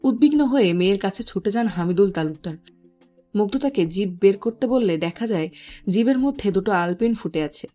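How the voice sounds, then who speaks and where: A woman's voice: medium pitch, animated, fairly quick, warm tone, soft background music. A woman reading scripted story narration as the narrator.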